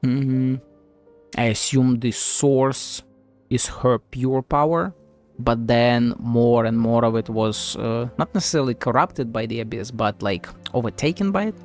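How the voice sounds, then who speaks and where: A man's voice: mid-range voice, unhurried. A man streaming a game, thinking aloud in casual playthrough commentary. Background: soft game music.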